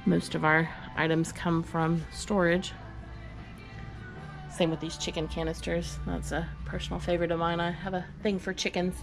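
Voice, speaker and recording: A woman's voice: fairly low pitch, little pitch movement, normal speed, creaky, over music, rough recording. A woman giving a chatty, upbeat walk-through of her booths in a large indoor antique mall, recorded on the camera she carries. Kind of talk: walk-through tour vlog.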